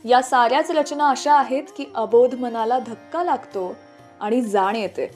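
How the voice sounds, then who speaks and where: A woman radio host with a fairly high voice narrates scripted stories about music. Soft music plays underneath her. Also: measured pace. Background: background music.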